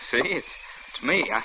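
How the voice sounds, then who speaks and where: An actor playing a friendly, apologetic shopkeeper in an old radio drama, folksy and a little hesitant. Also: male voice, mid-range voice, expressive, a little slow, natural voice. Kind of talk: 1940s-50s radio drama acting. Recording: dull old radio recording, faint noise.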